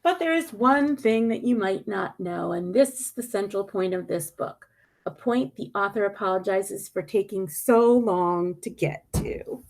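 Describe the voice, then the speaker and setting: Female storybook reader, very lively intonation, noticeable vocal fry, dramatic stresses and pauses. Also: medium pitch. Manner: deliberate, dramatic emphasis with pointed questions and pauses. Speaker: media specialist reading a picture book aloud. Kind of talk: expressive picture-book read-aloud.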